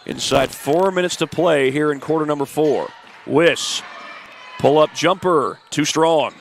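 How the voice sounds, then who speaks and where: A congested man with the flu giving steady play-by-play of a high school girls' basketball game, adding stats and schedule notes. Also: unhurried, gravelly, slight background noise. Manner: steady, informative play-by-play with stat and schedule asides. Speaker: play-by-play announcer. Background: faint gym ambience, ball bouncing.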